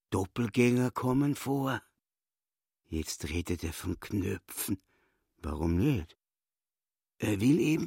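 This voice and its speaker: An actor playing a sly, cocky male dwarf chess hustler in a radio drama, theatrical and rough-voiced. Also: mid-range voice, a little mellow, dry recording, studio quality, exaggerated voice. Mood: excited, suspicious.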